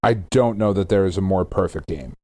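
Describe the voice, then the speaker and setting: Low-voiced American man, rambling casual podcast talk. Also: brisk, dark tone, no echo. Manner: rambling, jokey, profane. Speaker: podcast co-host. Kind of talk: casual banter.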